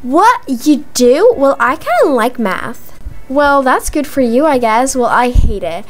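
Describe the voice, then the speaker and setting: Casting: chatty teen schoolgirl acted by a young woman, very high voice, casual game roleplay delivery over background music. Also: sing-song, a little slow. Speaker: roleplay video creator. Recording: music behind the voice.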